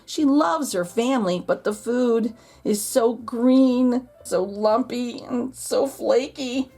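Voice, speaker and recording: A woman's voice: on the high side, slow, warm tone, husky. A woman host reading a children's storybook aloud expressively, drawing out key words for emphasis. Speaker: children's picture book read-aloud host.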